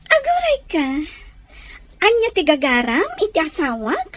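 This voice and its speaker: An actress playing a possessive, guarded young wife in a serial audio drama. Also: female voice, extremely high pitch, huge pitch swings, measured pace, no echo, exaggerated voice. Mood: suspicious, defensive.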